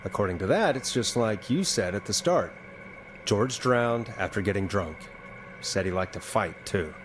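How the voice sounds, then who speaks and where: A man's voice: on the low side, lively intonation, moderate pace, slight background noise, lightly acted, poor audio. A man playing a thoughtful, puzzled radio host turned investigator in a video game, conversational and musing. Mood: puzzled, investigative.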